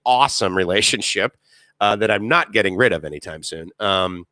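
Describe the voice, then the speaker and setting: A man's voice: on the low side, pushed and bright, no echo, clean studio sound. A man, hosting a casual live stream, rambling with many fillers and reading chat comments aloud.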